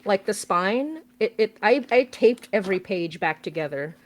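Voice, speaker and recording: A woman's voice: mid-range voice, animated, moderate pace. A woman in her thirties from the Philippines, hosting a casual stream and chatting with enthusiasm and frequent giggles. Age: 30s. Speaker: podcast host and guest writer.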